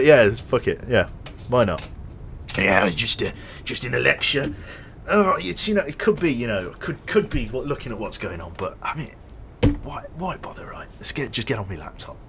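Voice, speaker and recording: A chatty young man rambles through a live gaming stream, talking to his viewers as he plays. His pitch moves a lot from line to line. A faint ambient noise sits under his voice. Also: sing-song, normal speed, a bit bright. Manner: rambling, chatty, reads chat aloud and jokes. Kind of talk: casual live-stream chat while gaming.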